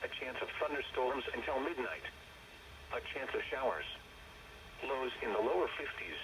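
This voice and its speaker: A man's voice reading a weather forecast over a radio receiver in a flat, monotone way. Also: medium pitch, moderate pace. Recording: tinny radio speaker heard from across the room with loud noise.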